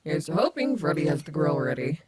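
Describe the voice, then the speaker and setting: A woman's voice: low-pitched, big pitch swings, a little fast, a loud crowd. A woman playing a brash, protective animatronic wolf companion in an audio roleplay, by turns teasing and affectionate. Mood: relaxed, joking. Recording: heavy outdoor ambience noise behind voice.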